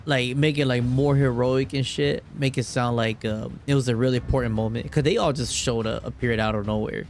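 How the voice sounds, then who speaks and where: A man's voice: mid-range voice, fairly even intonation, a little fast, slight background noise. A man reacting casually to a video game as he plays, talking in slangy, profane, informal language. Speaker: gaming video creator playing and reacting. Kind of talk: casual gameplay reaction commentary.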